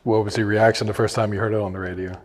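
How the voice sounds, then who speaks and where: A man's voice: on the low side, little pitch movement, racing, in a large hall. A man asking casual questions as an interviewer.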